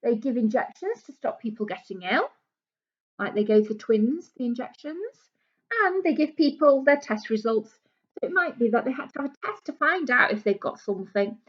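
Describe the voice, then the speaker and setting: A woman's voice: a little high, lively intonation, normal speed, muffled recording. A British woman reading a children's book aloud like a primary teacher, explaining things simply for young listeners. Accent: British English.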